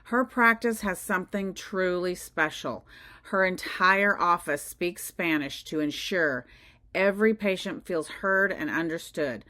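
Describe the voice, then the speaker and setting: A woman's voice: lowish voice, a little slow, no room sound. Polished woman podcast host, dry mic. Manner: polished, even delivery. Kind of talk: scripted intro and interview questions. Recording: dry close mic with faint music. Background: faint music.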